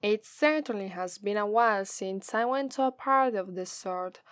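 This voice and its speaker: A woman with an Italian accent voicing a gloating, teasing female demon captor in a slow, drawling ASMR roleplay. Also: medium pitch, clear, resonant tone, no room sound, clean studio sound, lightly acted. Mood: boastful, tipsy. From Italy. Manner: slow, drawling, taunting, playful menace.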